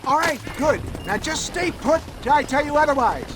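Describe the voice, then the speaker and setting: Very high, florid wagon showman. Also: lively intonation, moderate pace. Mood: grand, bossy. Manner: florid, pompous. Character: grandiose, wordy showman with a wagon in the Old West. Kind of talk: video game cutscene acting. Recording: noisy outdoor wagon and hoofbeats.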